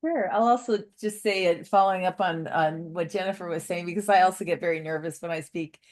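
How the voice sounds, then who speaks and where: A woman's voice: fairly low pitch, fairly quick, a little mellow. A woman taking part in a seminar discussion, sharing advice in an earnest, rambling, conversational way. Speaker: seminar participant. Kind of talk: casual discussion.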